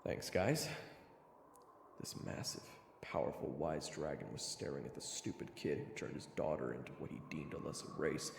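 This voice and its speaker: A man's voice: extremely low pitch, normal speed, gravelly, reverberant, in a large hall, a little hiss or hum, exaggerated voice. A man playing a nervous, sardonic young adventurer who narrates his own fantasy story with dramatic, wry delivery. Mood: anxious, wry. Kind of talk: first-person fantasy story narration and acting.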